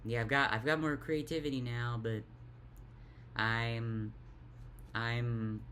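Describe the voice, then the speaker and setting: A young woman with a deep voice talks in a tired, halting way, stuttering and repeating words in a casual confessional vlog. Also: little pitch movement, fairly bright tone, slight background noise. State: tired, worn out. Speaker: vlogger.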